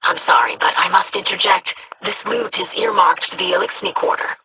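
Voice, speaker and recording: A woman's voice: medium pitch, sing-song, moderate pace, vocal fry, background noise. An actress playing an earnest, polite young female alien scholar. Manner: polite, formal.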